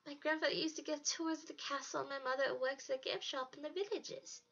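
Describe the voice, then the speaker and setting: Woman doing an eager high-voiced little German boy. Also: steady tone, a little fast, a bit bright, muffled recording. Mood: chatty, eager. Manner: eager, childlike, innocent. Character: excitable, curious ten-year-old German village boy. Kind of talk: storybook character voice.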